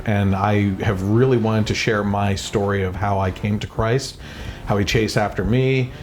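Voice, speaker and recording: Low-voiced man hosting an earnest home podcast. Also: a little mellow. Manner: earnest, rambling with restarts. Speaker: podcast host. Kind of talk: casual conversational podcast. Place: home, after dinner.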